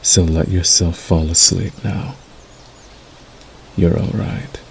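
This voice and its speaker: A man performs a werewolf lover in an intimate ASMR roleplay, possessive and quietly menacing, yet tender. His voice sits very low with a gravelly creak, coaxing and soothing at an unhurried pace. Faint noise lies behind it. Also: flat delivery, exaggerated voice. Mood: tender, hushed. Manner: slow, soothing, coaxing with dark undertone.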